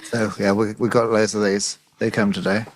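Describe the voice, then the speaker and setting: A man who plays bass, co-hosting a band podcast in a relaxed way and laughing often. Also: normal speed. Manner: laid-back, laughs often. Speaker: podcast co-host, bass player.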